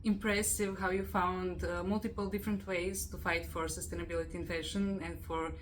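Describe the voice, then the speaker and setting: A woman hosting an interview podcast, upbeat and quick to laugh. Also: lowish voice, little pitch movement, a little hiss or hum. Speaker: host.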